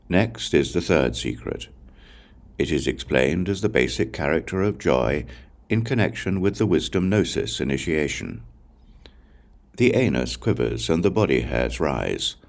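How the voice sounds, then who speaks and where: An extremely low-voiced man narrates a sacred text with a creaky, gravelly grain. His delivery is measured and solemn, set out with deliberate pauses, while his intonation moves widely up and down like a formal recitation. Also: very animated. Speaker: audiobook reader. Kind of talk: scripted narration of a Buddhist scripture.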